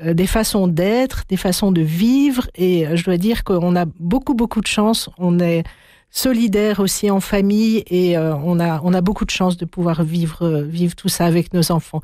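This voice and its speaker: A woman's voice: on the low side, moderate pace, dry recording, clean studio sound. Hesitant middle-aged woman, interview guest. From Belgium. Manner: warm, hesitant, many fillers. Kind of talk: interview answers.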